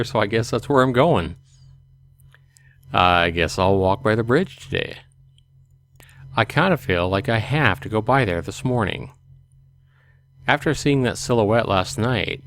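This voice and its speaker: A man's voice: lowish voice, a little hiss or hum. A man hosting a let's play, reading a visual novel aloud expressively with casual commentary.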